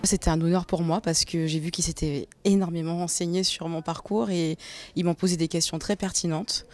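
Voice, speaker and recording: A woman's voice: fairly low pitch, steady tone, a little fast, darkish tone. A woman filmmaker and producer answering interview questions, enthusiastic but hesitant, with many fillers.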